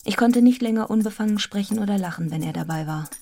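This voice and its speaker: A serious young governess, played by a woman in a literary radio drama. She speaks at a middling pitch, articulate and measured, with an inward, restrained temper as she recounts her life. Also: dark tone, natural voice. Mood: reflective, grave. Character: Jane Eyre, serious, restrained young governess narrating her own story. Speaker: actor in a radio play.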